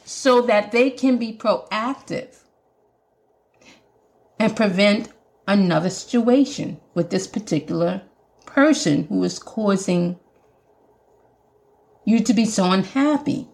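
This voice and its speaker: A woman's voice: mid-range voice, lively intonation, slow pace, gravelly, pauses often, a little mellow. A woman with a Jamaican accent hosting a podcast, talking earnestly and emphatically like a sermon. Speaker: podcast host. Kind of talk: preaching podcast monologue.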